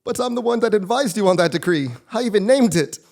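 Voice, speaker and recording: A man's voice: high voice, animated, fast, gravelly, a little mellow. An actor playing a polite, upbeat working man in a stage comedy, proper and matter-of-fact. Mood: cheerfully oblivious. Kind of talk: live absurdist comedy stage acting.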